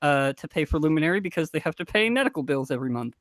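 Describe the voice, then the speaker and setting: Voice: mid-range voice, expressive, racing, no room sound. A man speaking as a panelist in a casual discussion, frank and informal with a dry turn of phrase. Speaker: podcast panelist.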